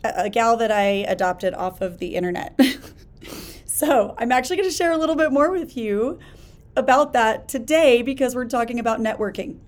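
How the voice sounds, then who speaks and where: A woman hosting a solo podcast, talking in an energetic, emphatic, conversational way with a hoarse voice while she gets over a chest illness. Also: fairly high pitch, normal speed. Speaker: podcast host. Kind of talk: casual solo podcast talk.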